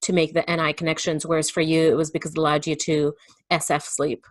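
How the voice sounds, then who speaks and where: A woman with a slightly low voice, recorded dry with little room sound, talks as a guest in a casual chat. She thinks aloud and explains haltingly, often seeking agreement. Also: flat delivery, no room sound. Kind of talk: casual reflective conversation.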